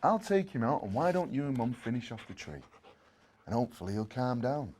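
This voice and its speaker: Man as a gentle, down-to-earth storybook dad. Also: medium pitch, very animated, a little fast, breathy edge. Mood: patient. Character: practical, kindly family dad.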